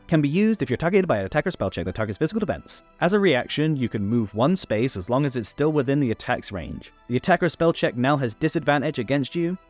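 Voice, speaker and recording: A man's voice: mid-range voice, darkish tone, dry recording. A man narrating a scripted tabletop game rules guide, instructional with playful asides. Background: background music.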